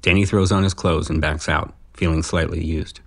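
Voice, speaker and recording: The narrator of an audio drama. He has a low, deep voice and reads the stage action plainly. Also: fairly even intonation, moderate pace, noisy background. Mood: matter-of-fact. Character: matter-of-fact male narrator reading scene action.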